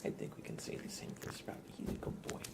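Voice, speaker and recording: A man hosting an ASMR livestream, chatting casually in a soft, gentle, whispered way. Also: fast talker, very noisy.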